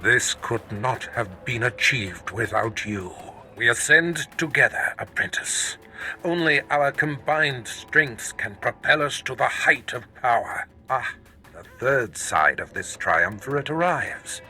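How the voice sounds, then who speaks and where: A man's voice: mid-range voice, lively intonation, takes it slowly, sharp tone, soft background music, full character voice. A man playing a grandiose, scheming Sith master in a video game, speaking with pompous, commanding deliberation. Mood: gloating. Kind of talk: dramatic video game acting.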